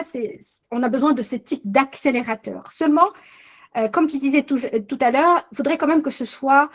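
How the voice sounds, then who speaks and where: Older woman, webinar host, high voice, slightly muffled call audio, reflective recapping. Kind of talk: webinar discussion, summarizing.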